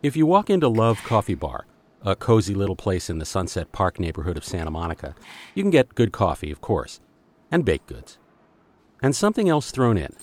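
A man's voice: on the low side, huge pitch swings, brisk, darkish tone. A male podcast host narrating a scripted story and posing rhetorical questions.

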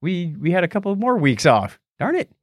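A man's voice: sits high, big pitch swings, fairly quick, no echo, studio quality. An American man co-hosting a podcast in a lighthearted, jokey way, moving between scripted intro and casual banter. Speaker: podcast co-host. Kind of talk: scripted show opening and casual chat.

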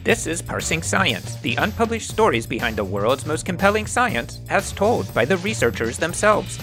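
A man's voice: fairly high pitch, normal speed, soft background music. A man co-hosting a science podcast, introducing the show and reading scripted narration in an announcing manner. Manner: animated, announcing. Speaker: podcast co-host.

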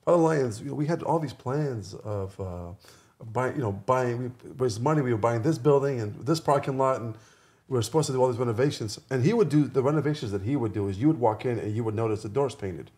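A man's voice: medium pitch, fast, a little mellow. A man guesting on a podcast, chatting informally through anecdotes, restating phrases and quoting people's dialogue. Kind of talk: casual chat, animated anecdotes.

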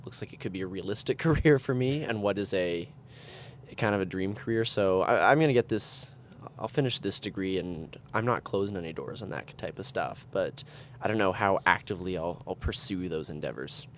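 A young Canadian man with a very low voice talks casually as a student guest on a podcast. He is self-effacing and conversational. Faint room noise sits behind him. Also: expressive, a little fast. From Edmonton, Canada. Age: 20s. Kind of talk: casual chat.

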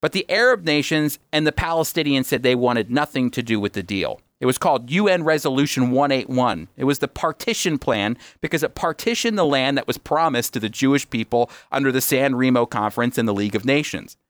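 A man's voice: mid-range voice, expressive, a little fast, hardly any pauses, no echo. A man hosting a radio program, explaining his points emphatically in a teaching style and often answering his own questions. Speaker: radio host.

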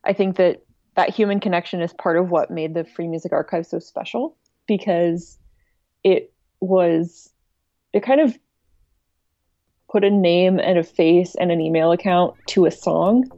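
Mellow, lowish-voiced woman, slightly dull audio. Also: steady tone, no room sound. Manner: measured, careful, hesitant explaining. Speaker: podcast guest, music archive director. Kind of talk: casual interview talk.

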